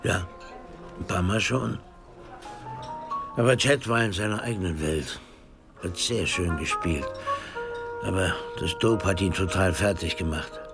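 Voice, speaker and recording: An actor playing an easygoing veteran male jazz musician in a radio drama, gravelly and casual, with wry, slangy talk. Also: low-pitched, unhurried, long pauses, over music. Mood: reflective.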